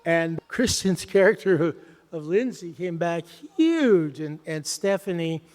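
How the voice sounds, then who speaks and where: A man's voice: a little high, expressive, a little slow, a little mellow. A man on a fan panel making joking, self-deprecating remarks. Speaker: showrunner panelist. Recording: long hall reverb.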